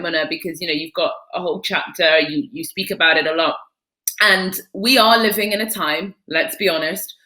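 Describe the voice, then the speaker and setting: A woman's voice: on the low side, pushed and bright, poor audio. A woman moderating a festival panel, putting questions hesitantly with many ums and restarts.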